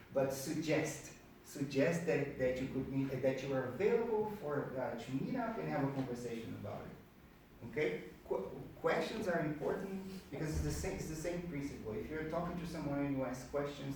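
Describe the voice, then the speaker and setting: A man's voice: on the high side, darkish tone. A man from Brazil with a Brazilian accent and Portuguese as his native language, giving an informal, conversational presentation at a meetup, repeating words for emphasis. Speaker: speaker at a career networking meetup. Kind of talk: informal presentation to a live group. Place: indoor meetup room with an audience. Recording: very echoey room, distant mic, faint noise.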